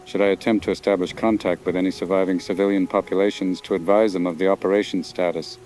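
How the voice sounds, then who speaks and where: Low-voiced dutiful sergeant played by a man. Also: steady tone, fast, a little mellow, a little hiss or hum, lightly acted. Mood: sober, dutiful. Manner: formal, procedural, sober. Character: dutiful male army sergeant reporting disaster. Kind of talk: military radio report in audio drama.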